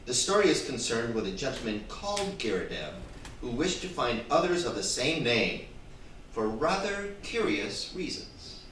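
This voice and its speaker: A man's voice: medium pitch, very animated, moderate pace, close to an everyday voice. An actor playing a genial, formal man recounting detective cases in an old-time radio drama on stage. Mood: genial, explaining. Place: theatre stage, indoors. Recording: very echoey hall from far off, faint noise.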